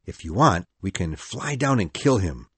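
A woman's voice: rumbling low, sing-song, normal speed, breathy edge, dry recording, voice pushed down, heavily acted. A woman reading aloud, voicing a boastful, fearless young boy leader in a matter-of-fact, cocky way.

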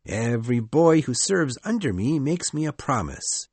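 A woman's voice: extremely low pitch, sing-song, unhurried, a little mellow, slightly rough, dry recording, voice pushed down, full character voice. A woman reading aloud, voicing a boastful, fearless young boy leader in a matter-of-fact, cocky way.

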